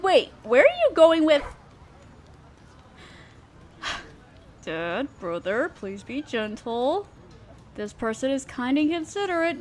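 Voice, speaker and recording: A woman playing an affectionate, reassuring girlfriend in a roleplay audio, speaking sweetly and tenderly to a partner. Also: on the high side. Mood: cheerful, excited, then pleading. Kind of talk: roleplay audio acting, addressing the listener as partner. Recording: noisy park and fireworks effects. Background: park sounds, fireworks.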